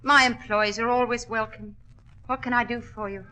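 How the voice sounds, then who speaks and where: A proud widowed mine owner in an old radio drama. Her voice is fairly high and very bright. She speaks with gracious, firm dignity. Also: steady tone, moderate pace, dull audio. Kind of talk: 1940s radio acting.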